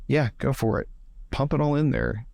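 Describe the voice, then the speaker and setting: A man with a creaky voice chatting casually as a player in a tabletop role-playing game. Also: medium pitch, moderate pace, darkish tone, no echo.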